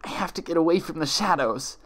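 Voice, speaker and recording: A man's voice: a little high, expressive, fairly quick, fairly bright tone, pitched above the performer's own voice. A man voicing a terrified young female pony, stammering and pleading tearfully in a story reading. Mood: panicked. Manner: stammering, tearful, desperate begging.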